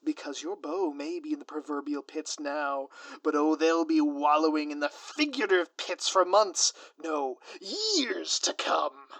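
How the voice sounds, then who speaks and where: A man's voice: on the high side, unhurried, hardly any pauses, fairly bright tone, dry recording. A man playing a gleefully scheming, grandiose supervillain in an audio roleplay, pompous and taunting with florid, melodramatic delivery. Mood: smug, showy.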